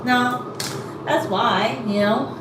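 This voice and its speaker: A woman's voice: fairly high pitch, extremely slow, reverberant, distant mic, background noise. A woman chatting casually in a rambling way with many fillers while she combs out her hair. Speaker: vlogger.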